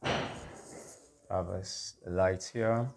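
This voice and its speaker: A man giving a casual walk-through as an electrician, halting as he lists items, on a handheld recording inside an unfinished house. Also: low-pitched, fairly even intonation, creaky. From Ghana. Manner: halting, itemising. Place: indoors, unfinished house with bare walls. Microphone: handheld phone or camera. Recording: noisy handheld recording in bare room.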